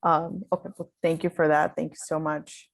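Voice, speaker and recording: A woman's voice: low-pitched, flat delivery, normal speed, vocal fry. A woman conducting an informal interview, feeling her way through tentative, meandering questions. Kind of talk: informal oral history interview.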